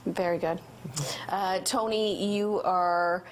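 A woman's voice: on the low side, steady tone, slow pace, slight background noise. A woman in her forties hosting a community TV interview, asking prompting questions in a friendly way. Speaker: TV host. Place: local TV studio set.

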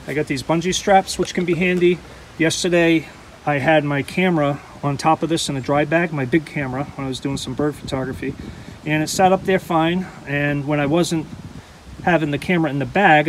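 Man, casual show-and-tell, relaxed and chatty, outdoors, handheld camera, faint background noise. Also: on the high side, rough recording. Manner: relaxed, chatty, with frequent ums and restarts. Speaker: outdoors vlogger. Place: outdoors beside a canoe.

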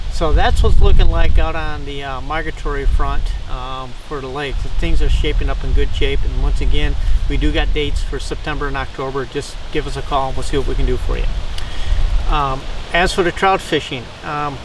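An older man, a fishing guide, gives a casual, rambling update to a camera outdoors beside a stream, with lots of fillers. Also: medium pitch. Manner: relaxed, rambling, with frequent fillers. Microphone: camera on tripod a few feet away. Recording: distant mic, outdoor noise.

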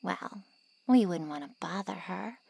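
A woman playing a haughty, callous ancient vampire in an ASMR roleplay drama, with mocking sarcasm and a breathy drawl. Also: fairly low pitch, lively intonation, measured pace, warm tone, no room sound, full character voice. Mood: sarcastic.

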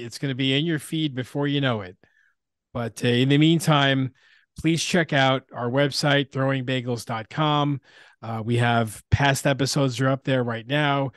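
A man's voice: mid-range voice, no echo. Man hosting a podcast, dry sound. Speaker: podcast host. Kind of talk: podcast outro.

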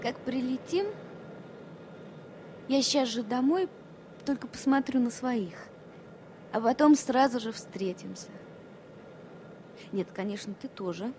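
An actress playing a tender, infatuated woman who gently probes her new lover, in an intimate radio play. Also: a little high, background noise.

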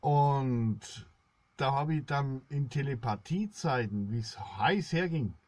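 Middle aged guy rambling slowly to camera, kinda solemn, dry close room sound. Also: medium pitch, lively intonation, a little mellow, dry recording. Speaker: blogger, solo video host. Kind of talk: rambling spoken monologue to viewers.

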